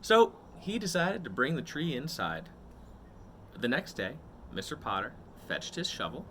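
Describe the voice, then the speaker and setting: Male children's read-aloud host. Expressive storybook delivery, very varied pitch, leisurely tempo. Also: a little slow, slight background noise. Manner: greets and addresses young listeners directly.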